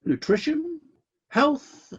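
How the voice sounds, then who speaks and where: A man acting in a radio play as God, a disillusioned but decisive ruler of heaven, with indignant questions and deliberate commands. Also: very high voice, sing-song, dull audio, full character voice. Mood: firm, hopeful.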